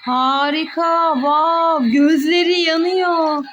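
A woman's voice: very high-pitched, fairly even intonation, slow pace, a bit bright, dull audio, soft background music. A young woman, a mother, playfully narrating toy play for children, full of exclamations and questions to young viewers.

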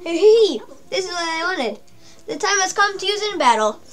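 Old male inventor, cackling, a woman reading his lines in a high voice. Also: sing-song, moderate pace, slight background noise. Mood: chipper. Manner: reading on-screen dialogue aloud.

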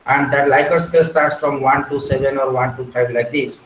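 A man's voice: medium pitch, steady tone, brisk. Man lecturing over a video call. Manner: explains step by step, frequent "uh" and "okay". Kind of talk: online lecture on research methods. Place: small room at home. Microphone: wired earphone mic on a video call. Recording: echoey room with faint background noise.

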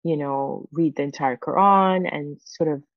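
A woman in her forties, a native Farsi speaker, telling a personal story on a video call with many pauses and fillers. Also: low-pitched, dry recording. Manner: halting, many fillers and restarts. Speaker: guest. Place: home room with windows. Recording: dull, dry video-call audio.

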